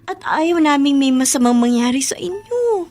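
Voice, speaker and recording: An actress playing a worried, loving and respectful adult daughter in a Filipino radio drama. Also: female voice, high voice, animated, moderate pace. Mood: worried, pleading. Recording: prominent music under the voice.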